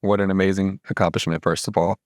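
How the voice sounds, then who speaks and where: A man's voice: low voice, little pitch movement, fast, no room sound, clean studio sound. A man hosting an interview, asking admiring questions. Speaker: interview host.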